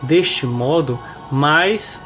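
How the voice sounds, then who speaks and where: Congested, hoarse Brazilian man, nasal voice. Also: on the high side, very slow, a bit bright, soft background music. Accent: Brazilian Portuguese. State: hoarse, stuffed up with a cold. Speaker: presenter reading a religious book aloud. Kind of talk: informal remarks and devotional reading aloud.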